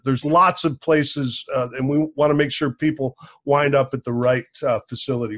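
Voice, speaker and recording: A man in his sixties hosting a podcast, introducing a guest over a video call from a home room, halting with many fillers. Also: medium pitch. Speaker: podcast host. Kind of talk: casual interview introduction.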